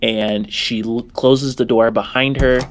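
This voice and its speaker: A man's voice: mid-range voice, fairly even intonation, a little slow. A man narrating a tabletop game casually as game master, rephrasing as he improvises his descriptions.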